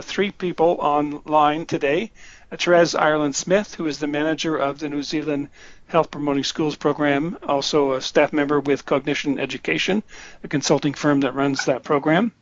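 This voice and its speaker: A man hosting a webinar, introducing speakers in a halting way with many fillers and restarts. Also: a little high. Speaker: webinar host. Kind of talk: hesitant spoken introduction. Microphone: computer mic on a webinar call. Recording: dull, dry lo-fi webinar audio.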